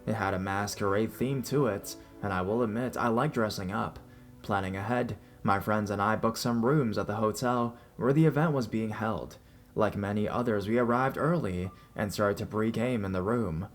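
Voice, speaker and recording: Male narrator, low pitch, scripted first-person horror storytelling, music playing behind the voice. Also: normal speed. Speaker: narrator reading listener-submitted scary stories. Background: background music.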